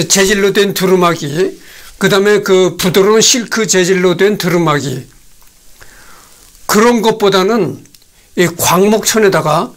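Older Korean man with a high voice. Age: 60s. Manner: slow, halting, with many fillers and restarts. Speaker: host. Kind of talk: solo talk reading notes on a screen. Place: small indoor room at a desk.

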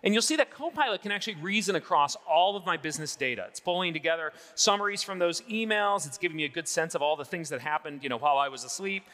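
A man's voice: a little high, expressive, fast, hardly any pauses, bright, forceful tone. A man in his forties, an enthusiastic presenter giving a live product demo on a large conference stage.

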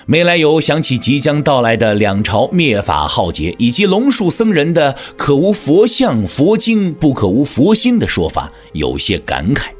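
A man narrating an audio drama as scripted storytelling, in a measured, theatrical manner. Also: medium pitch, wildly swooping pitch, husky, soft background music.

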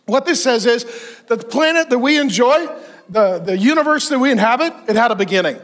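High-voiced fifty-something male pastor preaching. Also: brisk. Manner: animated, joking, gestures a lot while preaching. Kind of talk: sermon. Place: large church stage with a live audience.

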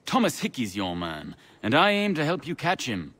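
An actor playing an earnest, brisk colonial gentleman, confiding and assured. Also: medium pitch, wildly swooping pitch, breathy edge, exaggerated voice.

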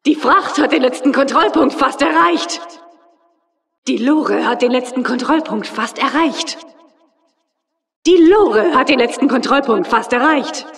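A woman's voice: on the high side, gravelly. An actress playing a stern, commanding female announcer in a video game, cold and demanding, with clipped, authoritative delivery. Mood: urgent announcing. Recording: echoing hall, distant loudspeaker sound.

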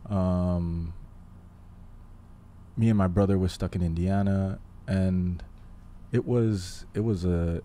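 Haitian-born guest in his 20s, deep mellow voice, measured and halting, close studio mic with faint room hiss. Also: steady tone. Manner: thoughtful, pauses often with fillers. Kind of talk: casual interview. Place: living room podcast set. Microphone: studio mic on boom arm, headphones.

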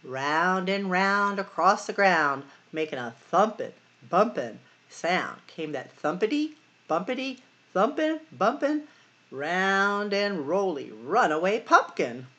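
Animated middle-aged woman reading to children. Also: on the low side, dull audio. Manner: sing-song, dramatic emphasis and drawn-out words. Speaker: library storytime host. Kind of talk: expressive picture-book read-aloud for children.

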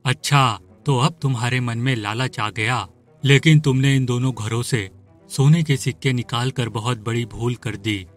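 A stern cartoon young man, acted out by a man, protective of his secret. He warns deliberately in a medium-pitched voice, with music underneath. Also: steady tone, natural voice. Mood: stern warning. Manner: deliberate, warning.

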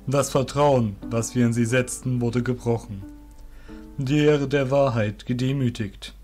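A man voicing a wise, wary old fairy beast master, with a deep, rumbling voice and measured, formal speech. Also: steady tone, a little mellow, faint music behind, natural voice. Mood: solemn distrust.

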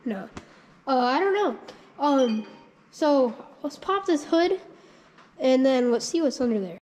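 High-pitched gal rambling through a vlog, lots of ums, thinking out loud. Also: female voice, lively intonation, takes it slowly, a little hiss or hum, low-quality recording. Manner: thinks aloud, rambling and unsure, frequent ums. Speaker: channel host. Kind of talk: casual walkthrough vlog.

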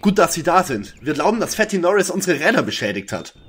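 Sceptical, husky boy detective played by a man. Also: on the high side, sing-song, fast talker, fairly bright tone, exaggerated voice. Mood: earnest. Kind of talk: audio drama parody acting.

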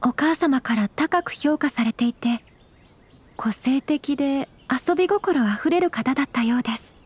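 A woman playing a polite, refined young noblewoman in a Japanese anime game, with formal, gently measured speech. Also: sits high. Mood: earnest, hesitant. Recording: music under the voice.

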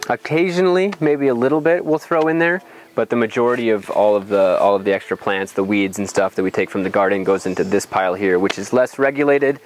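A man's voice: lowish voice, fairly quick. A young adult man explains things in an informal outdoor tour, thinking aloud with some hesitation, on a lapel mic. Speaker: farm guide. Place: outdoors on a farm.

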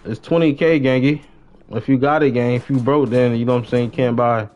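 A young American man chats casually while streaming a game. His voice sits at an ordinary middle pitch, and he talks in heavy slang, often repeating short phrases. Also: steady tone, fairly quick, darkish tone, dull audio. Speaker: live streamer playing a role-play game. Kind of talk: casual gaming chat.

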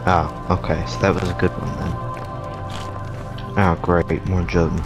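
A man's voice: low-pitched, flat delivery, measured pace, over music. A man chatting casually as a co-player during a video game. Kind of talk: casual chat while gaming.

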